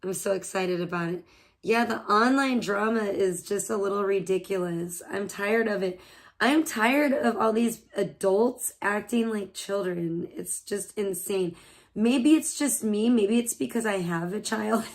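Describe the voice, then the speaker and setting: A woman in her thirties streaming live from home, chatting loosely with viewers, slightly hoarse and swearing freely between laughs. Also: mid-range voice, unhurried. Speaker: livestreamer.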